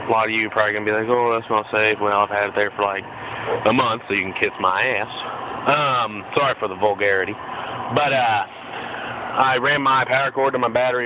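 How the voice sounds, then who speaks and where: Man, casual how-to delivery, plain-spoken and blunt, muffled phone sound with slight vehicle noise. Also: mid-range voice. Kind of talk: casual hands-on how-to demonstration. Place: in and around a pickup truck. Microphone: handheld phone.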